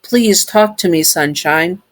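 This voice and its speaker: A storyteller voicing a gentle, protective male robot caretaker who speaks softly and coaxingly. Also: mid-range voice, slow, dry recording, natural voice. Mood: soothing. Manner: soft, soothing, coaxing. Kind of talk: fan story reading.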